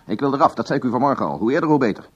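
An actor playing an impatient, bitter husband, clipped and insistent, in a dull-sounding radio play. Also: male voice, medium pitch, animated, rapid-fire, faint music behind, close to an everyday voice. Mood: bitter impatience. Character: impatient, bitter husband pressing his lawyer for divorce. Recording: dull, lo-fi radio drama recording.

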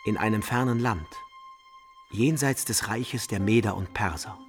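An actor playing an eccentric, obsessive medieval wandering scholar in a German radio play, pedantic, theatrical and emphatic. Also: male voice, lowish voice, a little slow, close to an everyday voice. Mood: agitated, amused. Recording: studio radio drama over music.

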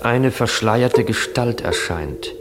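A man narrating scenes in a German literary radio drama in a measured, matter-of-fact way. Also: medium pitch, expressive, loud music behind the voice. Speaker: narrator of stage directions.

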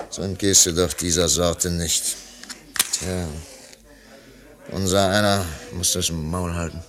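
A man in a radio play, playing a nostalgic, idealistic artist who speaks in a halting, rhapsodic and ponderous way. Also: deep voice, a bit bright, heavily acted. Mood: bitter, toasting. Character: nostalgic, idealistic male artist, reverent and world-weary. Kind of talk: German radio play acting.

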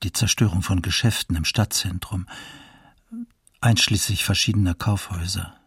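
An actor playing an elderly eyewitness, plainly recollecting wartime horror as interview testimony in a documentary radio drama. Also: male voice, low-pitched, fairly even intonation, normal speed, vocal fry, fairly bright tone, breathy edge, no room sound, clean studio sound, exaggerated voice. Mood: troubled recollection. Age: older.

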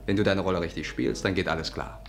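An actor plays a self-assured male schemer with a very deep voice. He talks fast and coaxingly, full of confidence, in 1950s radio drama style. Faint music runs behind. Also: close to an everyday voice. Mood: confident, persuasive.

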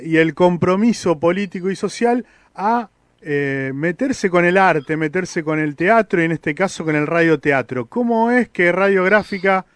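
A man's voice: on the high side, a bit bright, dry recording. A man with an Argentine accent hosting a conversational radio interview. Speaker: radio host interviewing a guest.

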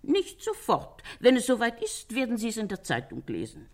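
A woman playing a talkative older Parisian landlady in a German radio crime drama, gossipy but sympathetic, chatting and retelling with a husky voice. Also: on the high side, wildly swooping pitch, normal speed. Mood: lively, gossipy. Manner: chatty storytelling, quotes others animatedly.

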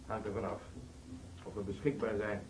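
Actor as a low, measured brotherhood leader. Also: male voice, little pitch movement, darkish tone, distant mic, close to an everyday voice. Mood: grave, reserved. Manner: measured, terse, sententious. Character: calm, principled male leader of a wandering brotherhood. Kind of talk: radio play acting. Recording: roomy, off-mic, faint hiss.

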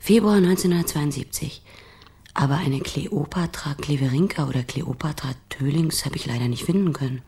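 An actor in a German radio crime drama playing a helpful, plain-spoken hotel keeper with a husky voice. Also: male voice, on the high side, moderate pace, a little mellow. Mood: obliging.

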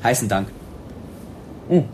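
A man's voice: medium pitch, lively intonation, takes it slowly, darkish tone. Pushy young man, radio drama, swooping intonation, cheeky, colloquial, faint background noise. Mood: nosy, needling. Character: brash, needling, pushy young man, falsely friendly. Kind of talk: naturalistic radio drama acting.

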